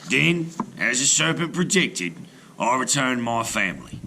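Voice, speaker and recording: A man reading scripted narration like a teacher, measured and explanatory, for young listeners. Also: mid-range voice, big pitch swings, gravelly, bright, forceful tone, a little hiss or hum, rough recording. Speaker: storyteller and teacher. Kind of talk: scripted read-aloud narration.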